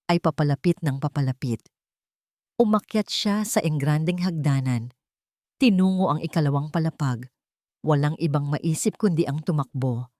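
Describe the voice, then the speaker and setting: A woman narrating a scripted dramatic story in measured, suspenseful phrasing. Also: low voice, darkish tone, no room sound, clean studio sound.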